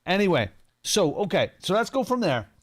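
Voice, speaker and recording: A man's voice: sits high, very animated, normal speed. A man in his fifties with a Northern Irish accent, giving casual, unscripted first impressions of a racing game in a chatty, informal way. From Northern Ireland. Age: 50s. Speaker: sim racing streamer and community head.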